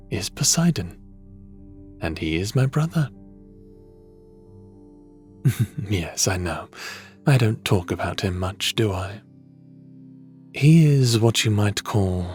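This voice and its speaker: An actor playing a calm, affectionate underworld god in an ASMR fantasy roleplay, talking in a measured, gently teasing way to the listener. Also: male voice, fairly low pitch. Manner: measured, softly teasing, addresses listener as little one.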